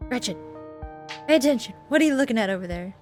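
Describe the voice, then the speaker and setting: Woman streamer, high voice, chatty gameplay commentary, reading on-screen text, expressive asides, clear music behind. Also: fast talker. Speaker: video game streamer. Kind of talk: playthrough commentary with casual reading aloud of game text.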